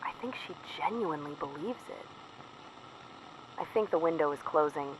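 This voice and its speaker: A woman's voice: sits low, expressive, moderate pace. A woman playing a weary, fearful field operative in an audio drama, confiding a careful, plain-spoken secret report over the radio. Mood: resigned, urgent. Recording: muffled noisy radio transmission.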